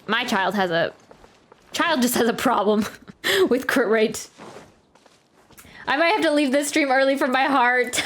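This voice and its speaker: A woman's voice: fairly high pitch, fairly bright tone. A woman game streamer chatting casually and reacting on a livestream.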